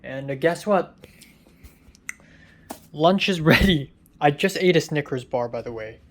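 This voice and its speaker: A tired young man with a raspy voice, rambling through a casual vlog from a hotel room, halting with many ums. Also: a little high, animated, fairly bright tone. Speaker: vlogger.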